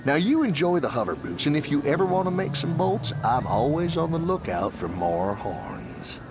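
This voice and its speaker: A man's voice: a little high, wildly swooping pitch, moderate pace. An actor playing a chatty, friendly frontier trader in a video game, with a rural Southern American drawl and a salesman's folksy manner. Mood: friendly salesmanship. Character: folksy friendly male frontier trader who bargains for trophies. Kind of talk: animated game character acting. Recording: music behind the voice.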